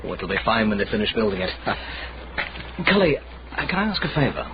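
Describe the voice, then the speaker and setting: Lively, evasive young gentleman. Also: fairly low pitch, moderate pace. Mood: casual. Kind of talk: period radio drama acting. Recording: muffled, noisy radio recording.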